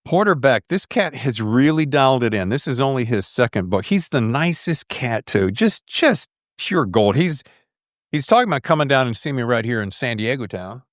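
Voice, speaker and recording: A man's voice: medium pitch, sing-song, normal speed, no room sound, studio quality. A middle-aged American man hosting a podcast in a casual, slangy, effusive way. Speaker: podcast host. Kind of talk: casual podcast interview chat.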